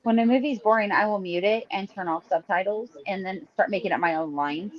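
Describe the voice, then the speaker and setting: A woman's voice: medium pitch, brisk. Chatty woman on a dull mic. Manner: chatty, laughs easily, suggests ideas. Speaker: podcast co-host. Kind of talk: casual podcast chat.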